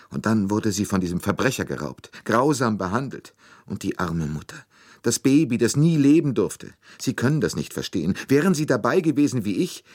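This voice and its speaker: A man voicing a grief-stricken young woman who is crying, her voice high and breaking as she sobs. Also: fairly bright tone, breathy edge, dry recording, clean studio sound, heavily acted. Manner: high, strained and sobbing, with the voice breaking.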